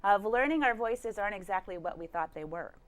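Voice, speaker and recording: A woman's voice: medium pitch, expressive, fairly quick. A woman hosting a TV studio interview, leading her guest with affirming questions about the voice. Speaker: TV show host.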